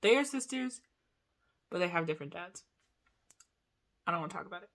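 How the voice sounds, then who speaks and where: A man's voice: sits high, animated, a little fast, a bit bright. A young man vlogging in a casual, rambling way, full of asides and giggles. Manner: chatty, giggly, talks in loose asides. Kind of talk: casual rambling chat to camera.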